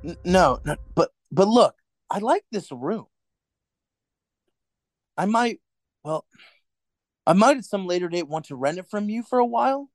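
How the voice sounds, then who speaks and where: A man's voice: high-pitched, animated, unhurried, pauses often, no echo, clean studio sound. An actor playing a nervous, weary man of thirty-nine in a radio play, hesitant and earnest. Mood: curious, hesitant. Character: nervous, weary thirty-nine-year-old rebel clerk.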